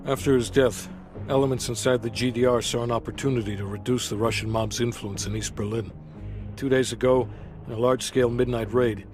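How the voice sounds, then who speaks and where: A man acts a businesslike intelligence officer in a cinematic game. He reports in a dry, clipped, matter-of-fact way at an ordinary male pitch. A score plays clearly behind him. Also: a little mellow, natural voice. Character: blunt businesslike male CIA officer giving a briefing. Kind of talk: cinematic game briefing dialogue. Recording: music behind the voice.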